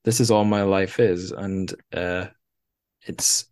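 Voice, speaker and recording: A man with a distinctly low voice hosts a casual book discussion. He speaks informally and reflectively, often hesitating and rephrasing as he works toward his point. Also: fairly even intonation, slow pace, a little mellow, clear, resonant tone. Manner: hesitant, reflective, searching for words. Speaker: podcast host.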